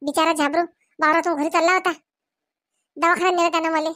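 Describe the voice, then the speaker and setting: A woman's voice: very high-pitched, steady tone, fast talker, pushed and bright, no echo, studio quality. A woman voicing a caring but bossy village aunt in a comedy cartoon, advising and reassuring. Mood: earnest.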